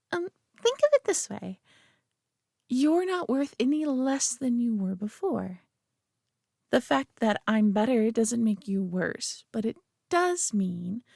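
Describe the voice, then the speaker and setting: A woman playing a prideful, possessive alien being in a roleplay audio drama, talking down to the listener with coaxing, deliberate care and dropping into whispers. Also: fairly high pitch, vocal fry, a little mellow, no room sound, clean studio sound, heavily acted. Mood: smug, reasoning. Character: prideful, possessive female superior alien being, condescendingly caring.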